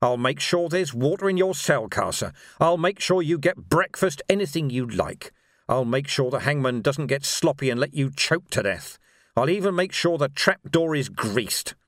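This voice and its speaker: A man voicing a gruff, righteous middle-aged policeman in an audiobook, speaking in emphatic, colloquial working-class phrases. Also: a little high, lively intonation, moderate pace, a bit bright, dry recording, clean studio sound, voice pushed up. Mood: grim triumph. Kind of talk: dramatic audiobook character dialogue.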